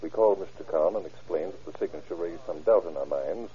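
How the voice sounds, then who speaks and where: An actor playing a polite, businesslike bank official with a formal, explanatory manner in an old radio drama. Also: male voice, sits low, brisk, dark tone, close to an everyday voice. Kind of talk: 1930s-40s radio crime drama acting. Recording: muffled old radio broadcast.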